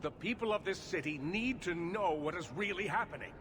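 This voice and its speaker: An actor playing an indignant, impassioned leader of mages, speaking formally and urgently in a declamatory fantasy game style. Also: male voice, sits high, animated, fairly quick, background noise. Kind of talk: dramatic fantasy video game acting.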